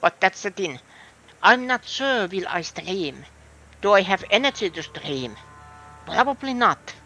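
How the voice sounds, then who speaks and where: High, bright Finnish-accented man. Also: very animated, measured pace, low-quality recording. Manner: musing, hesitant, thinking aloud. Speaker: streamer. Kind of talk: casual gameplay commentary.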